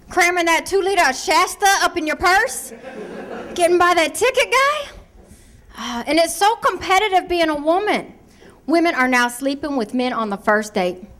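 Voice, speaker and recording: A woman's voice: very high-pitched, fairly bright tone, a little hiss or hum. A woman from Ohio with an Ohio accent, doing a brash, slangy stand-up set. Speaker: stand-up comedian.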